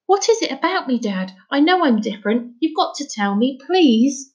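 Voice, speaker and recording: Woman voicing an earnest, high-pitched, pleading young boy. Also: very animated, moderate pace, darkish tone. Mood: desperate, pleading. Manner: breathless, pleading, insistent.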